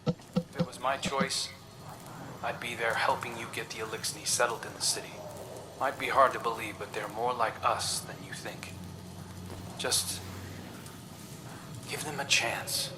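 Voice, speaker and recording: An actor playing a wry, easygoing veteran operative in scripted game dialogue, informal and understated. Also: male voice, mid-range voice, steady tone, measured pace, pauses often, noisy background, close to an everyday voice. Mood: wry, sincere.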